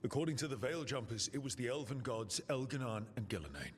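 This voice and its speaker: A man plays a defiant young assassin in a game cutscene. His mid-pitched voice is animated, confident and insistent, carried in a long reverb. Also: fast, slight background noise, close to an everyday voice. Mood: earnest, urgent. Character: defiant, brash young male assassin leading a team. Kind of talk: dramatic video game dialogue.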